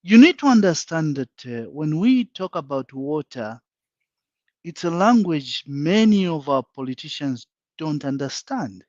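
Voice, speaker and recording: A man's voice: on the high side, sing-song, unhurried, a little mellow, no room sound, highs cut off. A man with a Zambian accent, a panel guest speaking frankly and emphatically in a live online discussion, laying out his points one by one.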